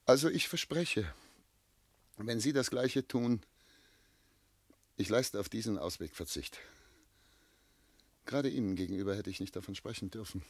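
A man's voice: on the low side, normal speed, long pauses, no room sound. An actor playing a tormented, self-doubting man of seventy in love, speaking solemn literary German lines in a classic radio play. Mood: grave, pleading. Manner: solemn, literary, declamatory phrasing.

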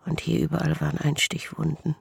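An actress playing a troubled woman haunted by experiments, in an intimate, breathy, halting radio drama monologue. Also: low voice, little pitch movement, no echo, exaggerated voice. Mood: hushed horror. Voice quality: breathy, whispery. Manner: slow, hushed, halting delivery. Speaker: actor.

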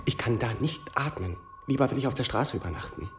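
An actor playing an effusive young pupil in a radio drama, speaking in a florid, hesitant, imploring way. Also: male voice, mid-range voice, lively intonation, fast, slight background noise, lightly acted. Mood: desperate pleading. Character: pleading, effusive, insolent young male pupil.

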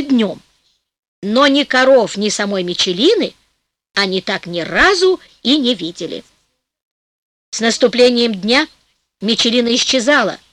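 A woman narrating a fairy tale in a lively storytelling manner for an audiobook, slowing down for rhymed passages. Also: high voice, dry recording.

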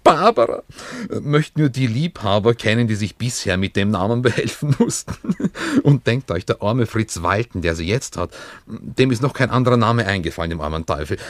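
A man's voice: fairly low pitch, animated, moderate pace, few pauses, dry recording, natural voice. An actor playing a jovial, teasing baron and man about town in a German radio play, chatty, with little tag questions. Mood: gleeful, teasing.

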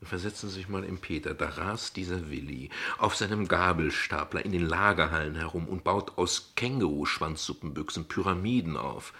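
Husky, low-voiced, sardonic father. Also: normal speed, few pauses, pushed and bright, exaggerated voice. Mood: evasive. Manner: wordy, sardonic, deflecting, with hesitations. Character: evasive, ironic, verbose father defending his unconventional family. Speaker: actor in a radio play. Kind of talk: dialogue radio play acting in standard German.

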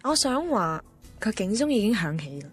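Blunt, lively Cantonese female friend. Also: mid-range voice, moderate pace, breathy edge. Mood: knowing, warning. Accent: Hong Kong Cantonese. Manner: emphatic, mixes in English words. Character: blunt, teasing, know-it-all female friend. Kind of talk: radio drama acting. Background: music.

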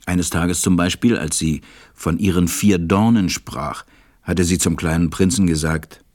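An actor playing a gentle, reflective storyteller, narrating a storybook radio play. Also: male voice, low-pitched, steady tone, a little slow, dry recording, studio quality. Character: reflective adult male narrator recalling a little friend.

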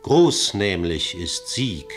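A man reciting the narration of a verse radio play in a measured, solemn way. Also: low-pitched, sing-song, faint music behind.

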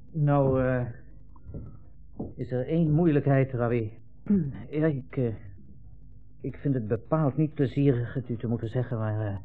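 Actor as a brusque, cynical army captain. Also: male voice, medium pitch, narrow, tinny audio, natural voice. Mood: awkward, offhand. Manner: hesitant hemming, offhand, dismissive. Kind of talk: 1960s Dutch radio play acting.

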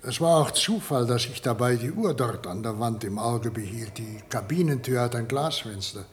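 A man acting a guarded, earnest scientist in his fifties, shaken and halting, in a radio play with a very husky, breathy voice. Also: mid-range voice, lively intonation, slight background noise, lightly acted. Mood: shaken, reflective. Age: 50s. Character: 51-year-old male scientist, shaken patient, guarded, earnest. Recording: vintage radio play recording.

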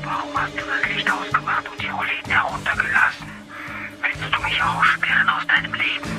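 A man playing a menacing male phone stalker in a radio crime drama, speaking in a breathy, commanding and taunting whisper. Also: a little fast, sharp tone, faint music behind. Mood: menacing, controlling. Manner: commanding, manipulative, threatening.

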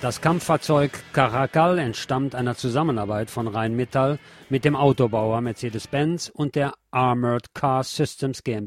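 Man reading news over music. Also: mid-range voice. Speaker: news presenter and commentator. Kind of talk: scripted news reading with personal asides.

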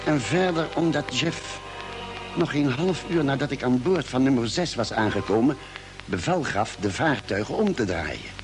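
An actor playing a calm, thoughtful doctor on a space fleet in a radio drama, speaking in a measured, slightly hesitant, soothing way. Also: male voice, medium pitch, faint music behind, lightly acted. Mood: troubled. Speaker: actor and first-person narrator. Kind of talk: mid-century Dutch radio drama acting.

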